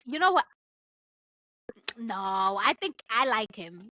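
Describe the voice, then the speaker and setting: A woman's voice: on the high side, sing-song, slow pace, pushed and bright, dull audio, a little hiss or hum. A woman chatting casually as a podcast co-host, with a slangy, playful and opinionated way of talking. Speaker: book club podcast co-host. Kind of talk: casual chat.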